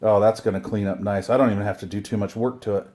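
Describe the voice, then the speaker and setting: A man's voice: on the low side, steady tone, fast talker, warm tone. Casual middle-aged man, rambling and chatty. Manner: rambling, chatty, self-deprecating grumbling. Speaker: video host unboxing radio gear. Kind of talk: casual unboxing chat to camera.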